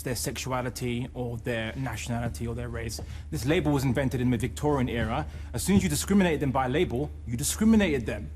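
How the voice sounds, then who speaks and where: A man's voice: medium pitch, darkish tone, slight background noise. A man on a debate panel arguing insistently, repeating himself and turning defensive when pressed. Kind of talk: studio debate argument.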